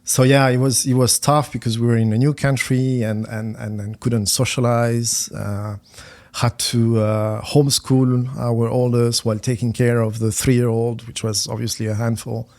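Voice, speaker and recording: A middle-aged man from France, a finance executive speaking as a podcast guest, talking haltingly with many repeated words and fillers. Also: medium pitch, flat delivery, a little mellow. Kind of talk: conversational podcast interview.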